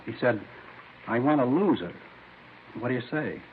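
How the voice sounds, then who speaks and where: An uneasy, down-on-his-luck informant played by a man talks in a medium-pitched voice, halting and earnest. It is vintage radio drama acting on a tinny recording with audible hiss. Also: lively intonation, natural voice.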